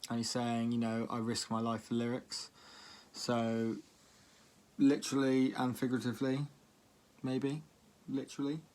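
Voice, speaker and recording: Man rambling casually with narrow pitch range. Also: completely flat delivery, a little slow, darkish tone. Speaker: music reaction vlogger. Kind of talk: casual spoken commentary.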